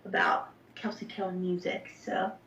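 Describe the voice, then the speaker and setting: A woman's voice: lowish voice, sing-song, a little slow, fairly bright tone. A young woman from New Jersey talking as a musician guest, casual and upbeat with lots of fillers. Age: young adult. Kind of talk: remote interview. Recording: echoey distant lo-fi call audio.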